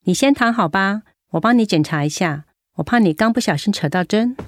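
An actress playing a caring, firm older-sister nurse, gently admonishing in Taiwanese Mandarin, in a radio drama. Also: female voice, medium pitch, expressive, brisk, a little hiss or hum, close to an everyday voice. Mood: concerned.

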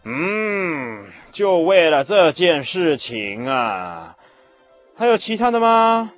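A man playing a haughty, dismissive young king in a Bible radio drama, pompous and drawn-out. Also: fairly high pitch, very animated, faint music behind.

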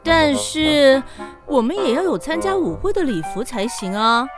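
A woman's voice: sits high, very animated, unhurried, over music. A woman playing a bossy, practical young eldest sister in a children's radio drama.

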